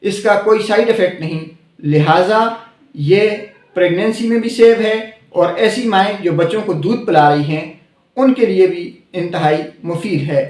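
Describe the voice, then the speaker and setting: A man's voice: high-pitched, unhurried, darkish tone, echoey room. A man hosting a health channel, explaining a herbal syrup to viewers and repeating the main points. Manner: repeats key points, addresses viewers directly. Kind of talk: scripted product explainer.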